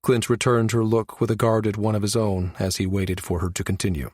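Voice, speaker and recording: A man's voice: fairly low pitch, flat delivery, fairly quick, darkish tone, no room sound, studio quality. A man reading scripted science fiction narration for an audiobook.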